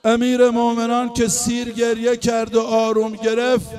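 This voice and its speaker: Brief: a high-pitched male eulogist, emotional chanted lament, with soft music behind. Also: monotone. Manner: emotional, wailing, half-sung lament. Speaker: religious eulogist. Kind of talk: chanted mourning sermon.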